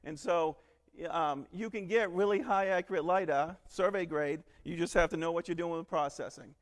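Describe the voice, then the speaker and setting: High-voiced man talking in a reverberant hall. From northern Alabama. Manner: joking, quick and chatty. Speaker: conference presenter. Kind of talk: technical conference talk. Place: large conference hall.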